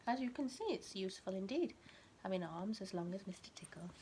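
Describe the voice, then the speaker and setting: A woman with a mid-pitched voice reads a children's story in an expressive, teacherly way. She speaks directly to a small child and keeps her wording simple. There is clear ambient noise behind her. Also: fairly quick. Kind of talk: animated storybook read-aloud with asides explaining words.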